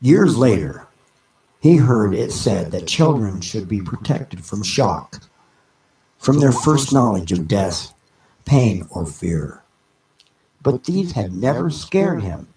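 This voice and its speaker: A man reading a novel aloud as a narrator, in a measured, dramatic audiobook style with deliberate pauses between phrases. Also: on the low side, sing-song, mellow, breathy edge, poor audio.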